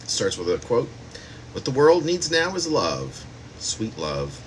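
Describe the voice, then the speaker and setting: A man's voice: mid-range voice, wildly swooping pitch, slow, slight background noise. A man reading his own poem aloud as a poet, in a spoken poetry-reading style.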